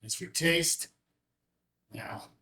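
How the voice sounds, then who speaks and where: An actor at a screenplay table read playing an older, earnest, bereaved small-town father who speaks slowly and deliberately. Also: bright, forceful tone, whispered. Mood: fond, reflective.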